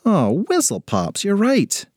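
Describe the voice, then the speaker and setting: A man's voice: on the high side, wildly swooping pitch, moderate pace, a little mellow, no room sound, studio quality. A man voicing a headstrong, sarcastic fifth-grade girl with a dramatic manner in a children's story. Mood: wry. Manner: exasperated, dramatic delivery.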